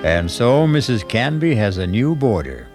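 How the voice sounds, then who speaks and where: A man's voice: mid-range voice, very animated, moderate pace. A man narrating a radio mystery as its host, in a scripted storytelling style. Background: music underneath.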